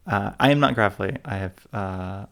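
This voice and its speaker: A man's voice: on the low side, normal speed, gravelly. A man co-hosting a travel podcast in casual chat, rambling and joking at his own expense. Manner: rambling, self-mocking, full of fillers and restarts. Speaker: podcast co-host.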